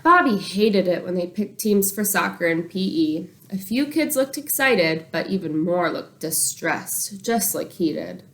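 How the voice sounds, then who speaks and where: Woman reading aloud with lively, swooping intonation. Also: on the low side, unhurried, few pauses. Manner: expressive, acts out the dialogue. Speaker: teacher reading chapters aloud. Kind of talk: animated children's book read-aloud.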